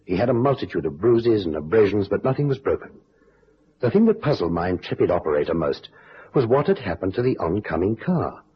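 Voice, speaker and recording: An actor playing the wry storyteller of an old-time detective radio drama, narrating with dry, dramatic timing. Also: male voice, on the low side, sing-song, brisk. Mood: dry suspense. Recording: dull vintage radio recording, faint hiss.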